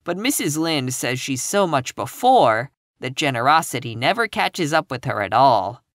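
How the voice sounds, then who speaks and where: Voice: medium pitch, big pitch swings, moderate pace, a bit bright, dry recording, clean studio sound. A narrator voicing a frank, gossipy teenage country girl in a storybook, matter-of-fact and confiding.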